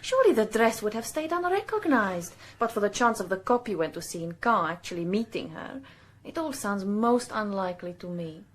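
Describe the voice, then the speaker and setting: An actress playing a composed, shrewdly sceptical woman in a naturalistic radio drama, measured and probing. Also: medium pitch, big pitch swings.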